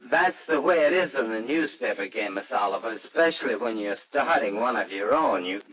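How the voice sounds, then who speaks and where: A man acting an excitable, doting, nervous new father in a 1940s-style radio drama, boyish and blustering. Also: mid-range voice, a little fast, close to an everyday voice. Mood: nervous, defensive. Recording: noisy old radio drama recording.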